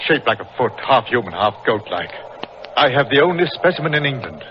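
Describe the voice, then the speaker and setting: A man's voice: mid-range voice, sing-song, a little fast, natural voice. A man playing a proud, grief-stricken explorer doctor, grave and impassioned, in 1940s radio drama style. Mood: grave confession. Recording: muffled, noisy old-time radio recording.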